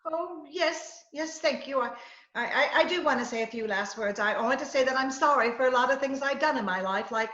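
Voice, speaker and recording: Woman playing a high-voiced flustered dame, roomy sound. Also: a little fast, fairly bright tone, dull audio. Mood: nervous babbling. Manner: breathless, stammering, dithering. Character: flustered, chattering, wheedling captive young woman. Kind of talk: 1940s radio comedy acting. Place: remote video-call performance. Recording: roomy, echoing video-call audio.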